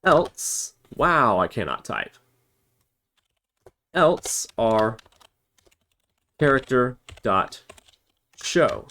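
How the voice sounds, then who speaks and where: A man's voice: mid-range voice, big pitch swings, very slow, long pauses, bright, forceful tone. A man giving a casual step-by-step programming tutorial, thinking aloud and correcting himself as he types. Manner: thinks aloud while typing, self-correcting. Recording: noisy, with keyboard typing and clicks. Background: computer keyboard typing, clicking, background noise.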